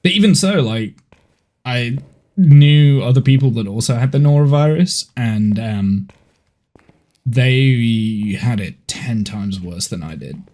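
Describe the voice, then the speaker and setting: A man's voice: medium pitch, lively intonation, slow pace, dark tone. A man in his thirties with a head cold, chatting casually and rambling as he streams a horror game from home. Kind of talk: casual gameplay chat on a live stream.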